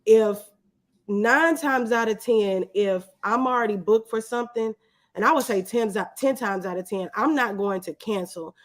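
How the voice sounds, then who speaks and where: A woman's voice: fairly high pitch, normal speed. A woman in her thirties talking casually as an interview guest, in a candid, humble, reflective way. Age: 30s. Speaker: guest, videographer.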